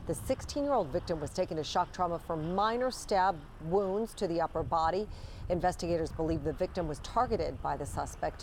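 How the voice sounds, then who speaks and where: A woman news anchor with a low-pitched voice delivers scripted television news. She reads in a broadcast style, with faint noise behind her. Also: a little mellow.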